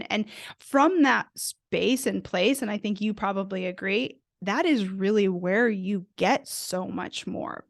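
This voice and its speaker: A woman hosts a casual podcast conversation and talks in a chatty, enthusiastic way. She leans on filler words as she works out her thoughts aloud. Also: medium pitch. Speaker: podcast host.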